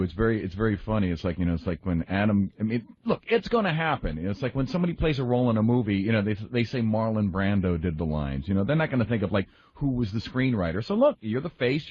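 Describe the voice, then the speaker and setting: A man in a casual radio discussion, speaking in a halting, rambling, aggrieved way. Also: lowish voice, sing-song, slightly rough. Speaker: radio show staff member and comedy writer. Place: radio studio. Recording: slightly dull, lo-fi radio audio.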